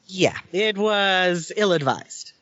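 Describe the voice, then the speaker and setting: A man's voice: high-pitched, animated, takes it slowly, sharp tone, no room sound. A man co-hosting a podcast in casual chat, dry and teasing, bluntly correcting facts. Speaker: podcast co-host. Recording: dry dull lo-fi podcast audio.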